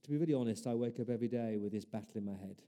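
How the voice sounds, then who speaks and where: A man's voice: on the low side, racing, warm tone. A man preaching a sermon as pastor, earnest, building his points through repeated rhetorical questions.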